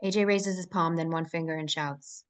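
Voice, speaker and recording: A woman's voice: deep voice, steady tone, moderate pace. A woman narrating scripted screenplay action lines as the reader at a table read. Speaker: stage-direction reader.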